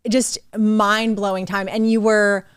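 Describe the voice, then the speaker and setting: A woman's voice: medium pitch, little pitch movement, takes it slowly, clean tone, dry recording, studio quality. A woman hosting a podcast interview, enthusiastic and affirming, asking questions in casual conversation. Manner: enthusiastic, frequent affirming "mm-hmm". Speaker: podcast host. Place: podcast studio.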